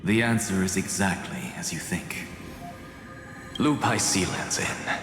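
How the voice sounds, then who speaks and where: A man's voice: low voice, animated, measured pace, fairly bright tone, full character voice. An actor voicing a brilliant, smug, flamboyant scholar-alchemist in a video game, theatrical and self-assured, savoring his words. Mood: smug, composed. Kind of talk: dramatic video game voice acting. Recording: echoey long reverb under music.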